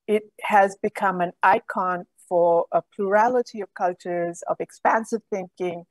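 A middle-aged woman, a guest on a video call, explaining in a measured way. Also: mid-range voice, steady tone, dry recording. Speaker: guest, program director.

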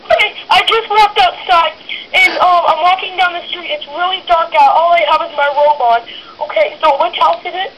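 A polite, formal man talks on the phone in a high voice. He keeps asking careful questions and stays suspicious. His voice comes through a phone speaker with faint background noise. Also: moderate pace, a bit bright, low-quality recording. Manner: polite and formal, calls the caller sir. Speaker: prank call target. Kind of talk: phone conversation. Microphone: heard through a phone speaker.

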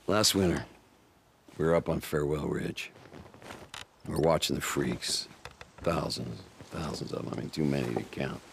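An actor playing a weary, cynical American biker with a gravelly voice, in a naturalistic video game scene. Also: male voice, extremely low pitch, normal speed, long pauses, a bit bright, exaggerated voice. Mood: weary, bitter. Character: weary, burly, cynical biker, loyal old friend. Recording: faint background noise.

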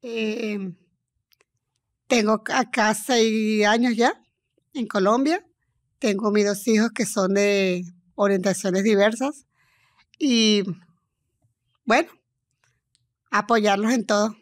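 A middle-aged woman with a Venezuelan accent, telling her story as a guest in an interview, halting and restarting often. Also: medium pitch, fairly even intonation, dry recording. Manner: hesitant, halting, with many restarts.

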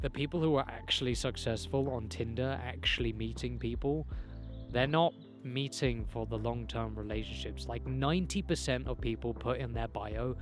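A young man talks to the camera he holds, out in an open field. He is loose and candid, rambling, swearing and laughing as he goes. His pitch sits mid-range for a man, with faint music under the voice. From England. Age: young adult. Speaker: self-improvement vlogger. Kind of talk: casual talk to camera. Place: outdoors in a green field by a hedge. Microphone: handheld camera mic, close.

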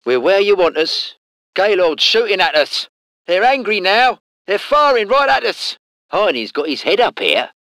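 A man's voice: very high voice, sharp tone, clean tone, no room sound, exaggerated voice. An actor with a British accent voicing a cheeky, salty tank crewman in a war game, in slangy, clipped phrases. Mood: reporting. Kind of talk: short scripted game unit responses.

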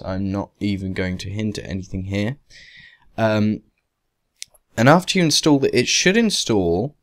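A man giving an informal software tutorial in a conversational way, with wry, emphatic asides. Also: on the low side. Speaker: tutorial presenter. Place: voice-over to a screen capture.

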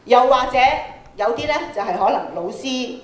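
In a very reverberant hall, a woman with a Hong Kong Cantonese accent addresses schoolchildren over a microphone. Her voice sits a little high. She lectures interactively, often tagging her points with questions to the listeners. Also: fairly quick. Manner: questioning, prompts listeners with frequent tag questions. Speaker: workshop speaker, radio programme producer. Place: large school auditorium, indoors. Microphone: handheld microphone, filmed from audience. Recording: echoey hall sound from PA.

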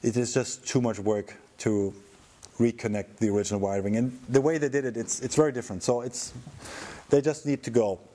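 A middle-aged man explaining a restoration project as its presenter, in a halting, thinking-aloud way. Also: mid-range voice, fairly even intonation, warm tone.

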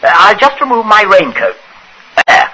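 An actor playing a wronged captain in an old-time radio drama, dry, precise and sardonic. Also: male voice, sits high, big pitch swings, normal speed, pushed and bright, husky, heavily acted, poor audio. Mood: dryly defiant. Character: wry, wronged British captain calmly arguing his innocence. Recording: hissy vintage radio recording.

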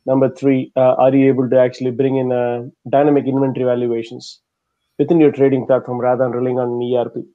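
A man's voice: mid-range voice, little pitch movement, quick pace, narrow, tinny audio. A man answering as a panelist in a webinar over a video call, laying out numbered points with many "uh"s. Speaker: panelist, software specialist.

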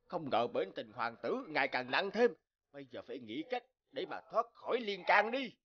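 A man playing a shifty, nervous court sorcerer in a cartoon fairy tale, talking in a furtive, hushed way. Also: a little high, very animated, normal speed, lo-fi sound. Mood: nervous, plotting. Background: background music.

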